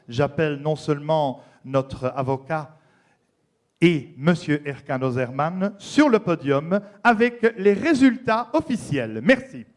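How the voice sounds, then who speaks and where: A man with a Belgian French accent hosting a gala on stage, announcing emphatically. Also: medium pitch. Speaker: gala host. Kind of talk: stage presenting.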